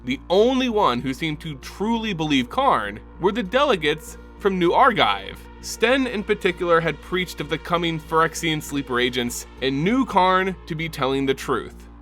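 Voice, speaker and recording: A man narrating a fantasy game's story as a dramatic lore reading, in a lively storytelling manner. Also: on the high side, normal speed, few pauses. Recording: music bed underneath.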